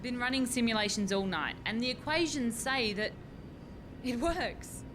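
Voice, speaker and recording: Casting: actress as a higher-voiced young woman scientist, keen and exasperated, radio farce, rumbly noisy home recording. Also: normal speed, close to an everyday voice. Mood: eager, teasing. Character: keen, exasperated young female physics researcher. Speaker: actor in a radio play. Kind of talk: radio play farce acting.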